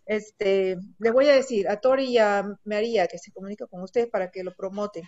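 A woman's voice: mid-range voice, fairly quick, darkish tone. A woman chatting during an online meeting. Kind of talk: casual meeting chat. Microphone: video call. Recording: dull lo-fi video call audio.

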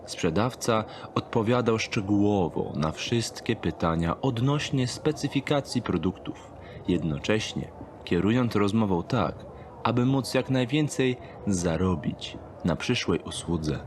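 A man narrates a dark thriller audio drama from a script. His voice sits on the low side. There is light background noise and ambience beneath the reading. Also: animated, a little slow.

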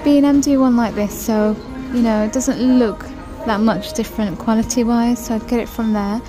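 A woman's voice: a little high, steady tone, moderate pace, over music. A woman vlogging a walk through a supermarket on her phone, chatting and gushing over items as she reads out prices. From United Kingdom. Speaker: shopping vlogger. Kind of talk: shop-with-me walkthrough narration. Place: large supermarket, indoors.

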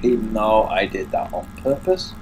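A man's voice: fairly low pitch, animated, moderate pace, background noise. An English man commentating a horror game, muttering and grumbling to himself, with a stuffy nose and hiccups. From England. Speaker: gaming YouTuber. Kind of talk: casual let's play commentary.

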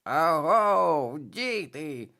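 Brief: croaky pet raven, as the reader puts him on, raised and chattery storybook voice. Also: mid-range voice, very animated, extremely slow, bright, forceful tone, pitched above the performer's own voice, heavily acted. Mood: eager. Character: croaking talking raven companion.

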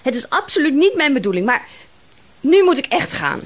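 A woman's voice: sits high, sing-song, moderate pace. An actress playing a flustered, chatty woman detective of about thirty in a Dutch radio drama. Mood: alarmed. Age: young adult. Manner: chatty, apologetic, exclaiming. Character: flustered, chatty female private detective around thirty, indignant under pressure.

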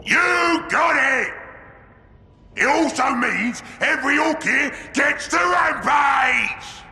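An actor playing a brash, greedy ork warlord in a video game, growling and bullying with a Cockney accent. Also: male voice, extremely high pitch, expressive, slow, echoey room, full character voice. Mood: rallying, boastful. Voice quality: gravelly, growling. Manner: shouted, bullying. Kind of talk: video game character acting.

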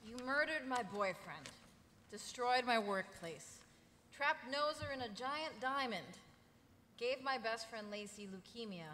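A woman's voice: a little high, lively intonation, unhurried, pushed and bright. A young woman playing a defiant, sarcastic captive heroine with a snappy delivery at a live table read. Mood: accusing. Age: young adult. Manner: sardonic, snappy. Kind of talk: live table read of a sci-fi comedy script. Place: large convention hall panel stage. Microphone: table microphone on stand. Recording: echoey, noisy convention hall.

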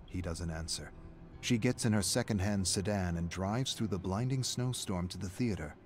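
Guy with a deep voice reading a story, measured and dramatic, some noise behind him. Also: darkish tone. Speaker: narrator. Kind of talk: scripted story narration, reading aloud.

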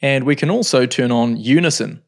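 A man in his thirties teaching music software in a home studio, explaining calmly with frequent ums. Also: mid-range voice, normal speed. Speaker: music production tutor. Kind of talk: screen-recorded software tutorial.

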